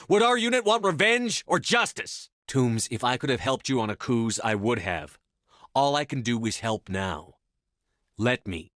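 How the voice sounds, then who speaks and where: A man acting in a video game as a commanding, resolute space soldier, speaking firmly with measured authority. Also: mid-range voice, a bit bright, no room sound, studio quality. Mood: earnest, resolute. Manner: firm, authoritative, measured delivery. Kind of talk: scripted game dialogue acting.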